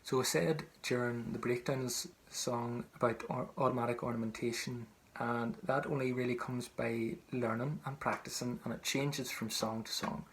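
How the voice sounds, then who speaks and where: A man's voice: medium pitch, fairly even intonation, moderate pace, few pauses, a bit bright, a little hiss or hum. A man with a Northern Irish accent from Tyrone gives an informal, conversational lesson as a singing teacher, explaining as he goes. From County Tyrone, Northern Ireland.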